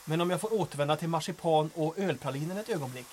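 An actor playing a wry, unhurried resistance lock-picker with a sweet tooth in a comic audio drama. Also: medium pitch, a little mellow, slight background noise, close to an everyday voice. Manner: wry, teasing. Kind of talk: comedic audio drama acting.

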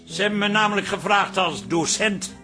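An actor playing an earnest grown son in a radio drama, speaking in a measured, formal way. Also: male voice, high voice, bright, forceful tone, soft background music. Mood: solemn, proud.

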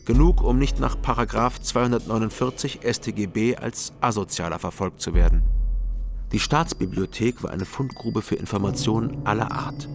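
An actor narrating as a private detective in a calm, measured, wry first-person radio crime style. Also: male voice, lowish voice, natural voice. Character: sober-minded male private detective narrator.

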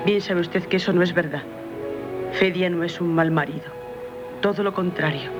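Actress as earnest Castilian daughter, dull old radio. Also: female voice, on the low side, normal speed, close to an everyday voice. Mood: indignant defence. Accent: Castilian Spanish. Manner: reproachful, earnest. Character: earnest young daughter, loyally defending her brother-in-law. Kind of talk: 1970s Spanish radio drama acting. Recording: dull vintage radio recording, music behind.

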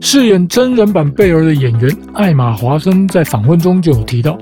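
A man hosting a podcast, calmly narrating a scripted explanation in a measured storytelling manner. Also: a little high. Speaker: podcast host. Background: background music.

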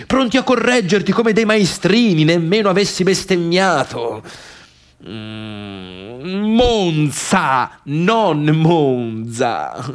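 An actor playing a middle-aged failed pianist, bitter and obsessive, in a scornful, sarcastic theatrical monologue about the sister who left him. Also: male voice, a little high. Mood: contemptuous. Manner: scornful, sarcastic, brooding, swinging between muttering and outbursts.

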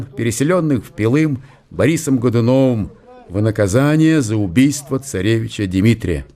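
Male narrator, mellow tone, lively intonation, literary storytelling, measured delivery. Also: medium pitch. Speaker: narrator of an audio drama.

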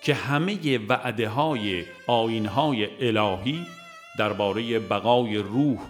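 A man reads a scripted audiobook in a measured, formal manner. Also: fairly low pitch, soft background music. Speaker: audiobook narrator.

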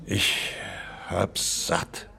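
An actor playing a vain, embittered opera star in his eighties, with a weary, theatrical delivery and a gravelly voice. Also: very slow, bright, forceful tone. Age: older. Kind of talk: radio drama dialogue.

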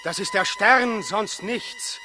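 An actor playing an exasperated, level-headed shepherd in a 1960s radio drama, urgent and commanding, on an old recording. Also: male voice, high voice, slow pace, background noise. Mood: alarmed. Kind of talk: 1960s German radio play acting.

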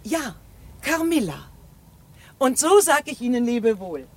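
A woman's voice: on the high side, big pitch swings, unhurried, husky, dry recording, full character voice. A woman playing an imperious, agitated noblewoman in a German radio drama, speaking theatrically and formally with urgency. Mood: commanding.

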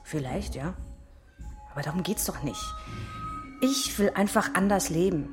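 A woman's voice: fairly low pitch, lively intonation, measured pace, over music. A woman playing a restless, intense young wife who longs for freedom, in a radio drama.

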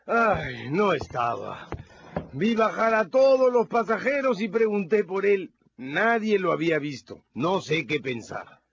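A creaky, bewildered old farmer uncle in a radio play. His voice wanders up and down as he frets, grainy and gravelly. It comes through a dull, lo-fi old radio recording. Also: sits high, big pitch swings, measured pace, a little hiss or hum, full character voice. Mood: fretful. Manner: fretful, bewildered. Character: worried older male farmer, uncle. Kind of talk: radio drama acting.

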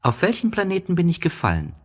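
Man playing a high-voiced, wondering little prince. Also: huge pitch swings, fast, exaggerated voice. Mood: curious. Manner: earnest, questioning, raised lilting voice. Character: gentle, wondering, wistful little prince, a small boy. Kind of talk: storybook character voice.

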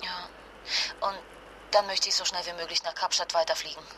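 A woman's voice: fairly low pitch, steady tone, normal speed, pushed and bright, slight background noise, poor audio. An actress playing a distraught young mother fleeing disaster with a sick child, speaking with emotional, urgent intimacy in a naturalistic radio drama. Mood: weary, resolute.

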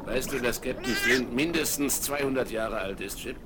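An actor playing a crafty one-legged pirate cook who plots in a low, sly voice in a children's radio play. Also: male voice, normal speed, close to an everyday voice. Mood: jovial. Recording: noisy old vinyl radio play.

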